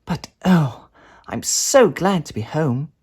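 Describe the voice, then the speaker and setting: A man's voice: a little high, expressive, takes it slowly, a little mellow, slightly rough, voice pushed up, full character voice. A man reading a storybook aloud, voicing a cheerful, brave young girl in a raised, eager voice.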